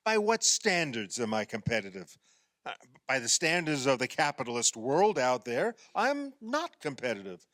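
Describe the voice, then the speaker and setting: An actor playing an eager, needling fifty-year-old husband in a radio play, excitable and argumentative. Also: male voice, mid-range voice, normal speed, no echo. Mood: insistent, bickering.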